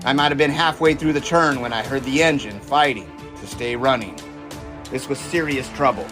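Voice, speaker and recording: A man's voice: mid-range voice, normal speed, music in the background, natural voice. A man playing a confident, seasoned 1920s test pilot in a scripted audio drama, talking in a folksy, assured, explanatory way. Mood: gritty recounting.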